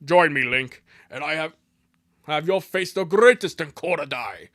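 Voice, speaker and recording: A man voicing a grandiose, menacing villain tyrant from a cartoon game, theatrical and drawn-out in his commands. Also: fairly high pitch, a bit bright.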